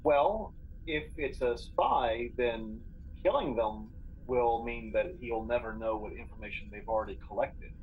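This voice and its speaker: A man's voice: mid-range voice, measured pace, phone-quality sound. Casual male game player over background noise. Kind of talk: casual collaborative game chat.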